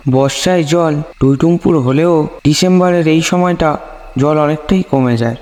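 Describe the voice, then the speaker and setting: High-pitched man narrating in deep reverb. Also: moderate pace, darkish tone. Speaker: narrator of a horror cartoon story. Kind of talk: scripted ghost story narration. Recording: long hall-like reverb on voice.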